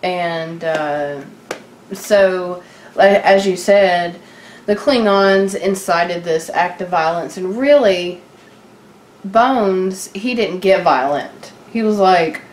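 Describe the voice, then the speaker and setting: A woman's voice: fairly low pitch, slow pace. A woman chatting casually and unscripted as a co-host, informal and wry, joking and swearing mildly. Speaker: podcast co-host. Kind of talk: casual unscripted chat about TV. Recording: echoey room, background noise.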